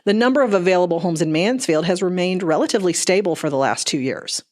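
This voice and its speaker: A woman's voice: lowish voice, lively intonation, quick pace, a little mellow. A woman realtor delivering a scripted market report as a news segment.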